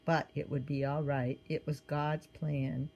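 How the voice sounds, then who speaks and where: Woman, low voice, storybook read-aloud, gentle, expressive. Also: gravelly, muffled recording. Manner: gentle, pausing to point at the pictures. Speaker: storybook reader.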